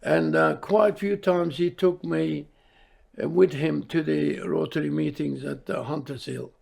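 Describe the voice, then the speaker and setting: An older man from Iran speaks reflectively in an interview, halting and searching for his words. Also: fairly high pitch, steady tone, vocal fry, a little mellow. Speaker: service club member interviewed.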